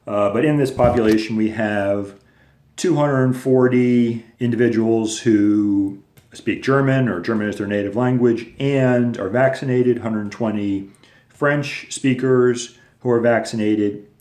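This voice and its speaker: Low-voiced man teaching in an echoey room. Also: fairly even intonation, measured pace, darkish tone. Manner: patient, step-by-step explaining with fillers. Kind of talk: recorded lecture video.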